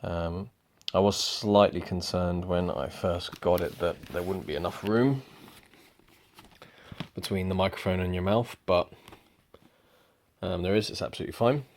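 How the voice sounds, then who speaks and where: A young man giving a relaxed, rambling product review at home, with lots of ums. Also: sits low, measured pace, a little hiss or hum. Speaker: motorcycle vlogger. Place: indoors at home.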